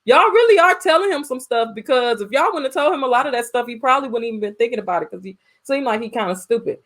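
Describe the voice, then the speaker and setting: A woman's voice: on the high side, animated, fast, a bit bright. An American woman co-hosting a film review, chatting casually in a blunt, colloquial way. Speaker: podcast co-host reviewing films. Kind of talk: casual chat, film discussion.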